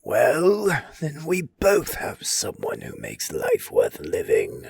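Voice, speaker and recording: A man voicing a gleeful, mocking criminal mastermind in a comic dub, with a raspy, whispery, theatrical delivery. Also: on the high side, huge pitch swings, a little mellow, heavily acted. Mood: sly. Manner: theatrical, drawn-out, taunting. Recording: music behind the voice.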